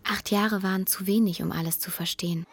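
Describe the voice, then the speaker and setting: A woman's voice: medium pitch, steady tone, brisk, lightly acted. A woman playing a grown narrator looking back on her childhood in a literary radio drama. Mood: reflective, quiet.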